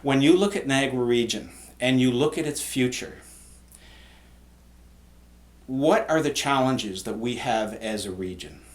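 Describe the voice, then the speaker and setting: In a roomy, echoing space, a man asks interview questions. His voice sits at a medium pitch and his delivery is conversational. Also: unhurried, long pauses. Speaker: interviewer, host. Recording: roomy, echoing room sound.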